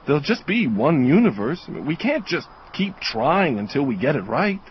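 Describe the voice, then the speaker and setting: An actor playing an earnest, fretful angel in an audio drama, hesitant and anxiously dutiful. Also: male voice, a little high, very animated, normal speed, slight background noise. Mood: fretful, pensive. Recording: slightly dull sound with faint music.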